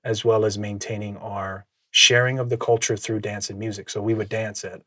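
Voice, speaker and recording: Low-voiced man, reflective and dry. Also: flat delivery, pushed and bright, no room sound. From Minnesota, USA. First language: Samoan. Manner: reflective, measured, laughs often. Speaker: podcast guest. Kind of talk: casual conversational storytelling.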